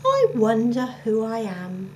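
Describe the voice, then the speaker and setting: A woman's voice: on the high side, huge pitch swings, slow pace, darkish tone, slight background noise, full character voice. A woman voicing a small, forgotten storybook creature, timid, bewildered and wistful. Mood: wistful, puzzled. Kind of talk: children's storybook character voice.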